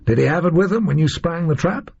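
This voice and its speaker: A man's voice: a little high, animated, fast talker, gravelly, a little mellow, slightly rough, pitched above the performer's own voice, exaggerated voice. A man voicing a tough, sardonic gunman who drawls in casual slang. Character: tough American male gunman, blunt and sardonic. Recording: muffled lo-fi recording.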